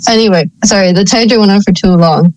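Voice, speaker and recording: A young woman from Thailand co-hosting a relaxed talk show. She is chatty and wry, with a teasing edge to how she talks. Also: medium pitch, fast talker, a little hiss or hum, poor audio. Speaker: podcast co-host. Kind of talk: casual chat.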